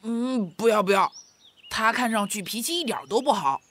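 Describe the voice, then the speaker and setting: A woman's voice: on the high side, normal speed, fairly bright tone. A woman playing an eager, impulsive schoolboy in a children's audio drama.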